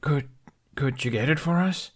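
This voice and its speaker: Man voicing a nervous, higher-pitched, stammering boy. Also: normal speed, natural voice. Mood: hopeful. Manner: hesitant, stammering, apologetic. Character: nervous, rambling, well-meaning human boy, hopeful. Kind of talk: audiobook character voice.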